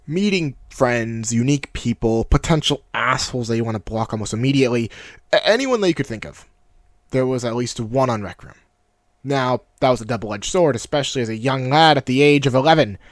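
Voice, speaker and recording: Deep-voiced young man, dry close mic. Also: expressive, fairly quick, no room sound. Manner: casual, nostalgic, self-mocking asides. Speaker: YouTube creator. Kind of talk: reflective personal vlog narration.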